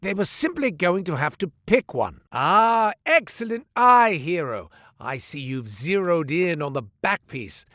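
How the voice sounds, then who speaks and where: An actor playing a sly, smooth-talking male merchant who spins tales while selling gear, in a game. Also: high voice, big pitch swings, measured pace, hardly any pauses, fairly bright tone, no echo, studio quality, full character voice. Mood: wry. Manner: theatrical, salesman patter, wry. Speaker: shopkeeper narrating a tale. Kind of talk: studio game voice lines. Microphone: close studio mic.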